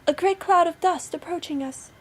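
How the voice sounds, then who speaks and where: A woman's voice: very high voice, moderate pace, pitched above the performer's own voice. A woman voicing an anxious, watchful sister in a storybook tale, calling out what she sees. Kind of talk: storybook character voice.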